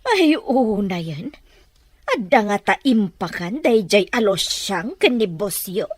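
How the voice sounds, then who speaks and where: A woman's voice: mid-range voice, big pitch swings, slow pace, husky, dry recording, clean studio sound, heavily acted. A woman with an Ilocano Filipino accent acting a gossipy, prying office lady in an audio drama. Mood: scornful.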